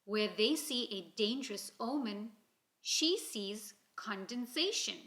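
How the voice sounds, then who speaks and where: A woman reads a children's story aloud in an expressive, deliberate storytelling manner. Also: fairly high pitch. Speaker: storybook reader. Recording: roomy, echoing indoor sound.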